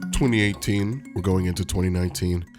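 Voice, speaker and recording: Stuffed-up low-voiced man with a cold. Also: brisk, over music. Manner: candid, frequent ums, casual swearing. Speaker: podcast host. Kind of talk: personal reflective monologue. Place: Los Angeles home.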